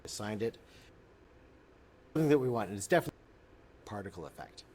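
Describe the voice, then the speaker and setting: A man explaining software step by step in an unscripted tutorial, often repeating and rephrasing himself as he goes. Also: fairly low pitch, lively intonation, fast talker, slight background noise.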